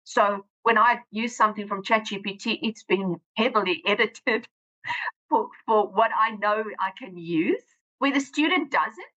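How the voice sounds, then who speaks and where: Bright-voiced South African woman, lo-fi. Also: medium pitch, a little slow. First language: Afrikaans. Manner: plain-spoken, frequent restarts and laughs. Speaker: guest author and teacher. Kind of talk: casual interview chat.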